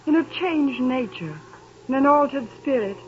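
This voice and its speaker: Young fiancée, 1930s radio play: high, gentle, grieving, resigned; muffled old broadcast. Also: female voice, animated, normal speed, a little hiss or hum. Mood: reproachful. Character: Belle, young woman ending her engagement, sorrowful. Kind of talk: 1930s radio drama acting.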